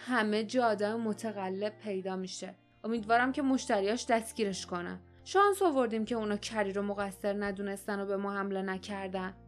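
Woman reading as a grumpy careful warrior dude, low-key grumbling. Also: few pauses, soft background music, lightly acted. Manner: grumbling, wary.

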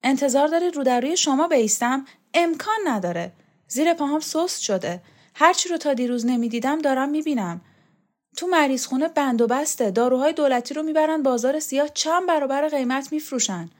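A woman doing a high, fretful young student. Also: expressive. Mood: anxious. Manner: pleading, quick and fretful. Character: earnest young male student, anxious and idealistic.

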